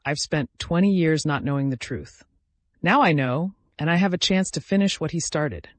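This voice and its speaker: A man's voice: on the high side, animated, normal speed, no echo, natural voice. A man voicing a determined, haunted young man in his twenties, speaking earnestly in a dramatic audio story. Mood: firm, intense. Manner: earnest, resolute.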